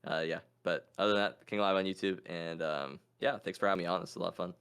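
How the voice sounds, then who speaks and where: A man chatting casually as a quiz contestant, musing out loud in an informal way. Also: sits low, fairly even intonation, quick pace, clean tone. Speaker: guest contestant, gaming YouTuber. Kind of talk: casual chat, thinking aloud.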